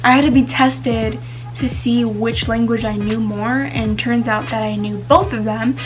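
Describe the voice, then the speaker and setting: A woman's voice: a little high, steady tone, unhurried, music in the background. A teenage girl vlogging casually to camera, chatty and full of fillers, whose first language is Spanish. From United States. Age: teens.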